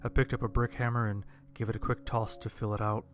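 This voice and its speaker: A man's voice: lowish voice, level tone, quick pace, faint music behind. A man narrating a scripted first-person horror-comedy story in a dry, wry manner.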